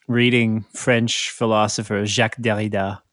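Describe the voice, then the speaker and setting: A man co-hosting a podcast in casual conversation, talking in a halting way and often correcting himself. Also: fairly low pitch, little pitch movement, a bit bright, no room sound, clean studio sound. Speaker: podcast co-host. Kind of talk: casual chat.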